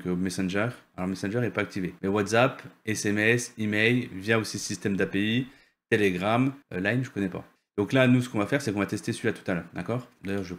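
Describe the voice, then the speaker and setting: Man, lowish pitch, tutorial host, casual, unscripted, hesitant delivery, repeated words, frequent check-ins with the listener. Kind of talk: casual software tutorial with screen share.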